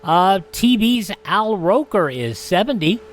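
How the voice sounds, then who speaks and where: A man's voice: high voice, sing-song, unhurried, faint music behind. A man hosting a radio show, announcing in the style of a presenter reading a roundup.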